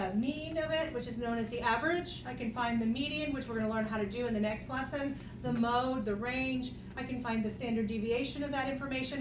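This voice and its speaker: A woman teaching a math class, playful and jokey as she works through examples aloud. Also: fairly high pitch. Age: 50s. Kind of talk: classroom lecture. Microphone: distant room mic. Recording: echoey classroom, distant mic, noisy.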